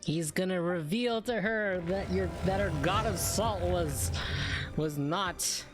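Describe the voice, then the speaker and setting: A woman's voice: on the low side, slow pace. A woman giving casual reactions as a streamer playing through a game. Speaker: gaming streamer. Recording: game music behind the voice.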